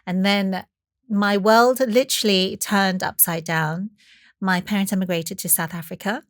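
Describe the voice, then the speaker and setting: A woman's voice: mid-range voice, steady tone, a little slow, dry recording, clean studio sound. A woman, telling her story as an interview guest, chatty and full of "you know" fillers. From Taiwan. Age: 40s. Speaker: chef and guest. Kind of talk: conversational storytelling.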